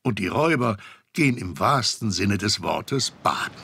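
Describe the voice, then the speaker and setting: A man's voice: fairly low pitch, lively intonation, normal speed, vocal fry, sharp tone, slight background noise, heavily acted. A man narrating a children's adventure radio play as the storyteller. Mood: storytelling.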